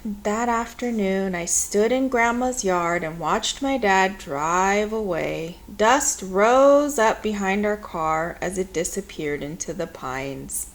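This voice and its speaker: A woman reading a children's story aloud in an expressive, measured storytelling manner. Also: medium pitch, slight background noise. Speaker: storybook reader.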